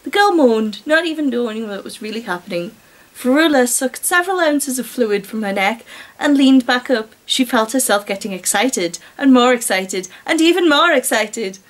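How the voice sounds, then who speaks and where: A woman with a high-pitched British voice reads a story aloud in an amateur style. She is giggly and openly incredulous, breaking into sarcastic commentary on what she reads. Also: lively intonation, normal speed. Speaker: reader and commentator. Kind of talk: amateur story reading with mocking asides.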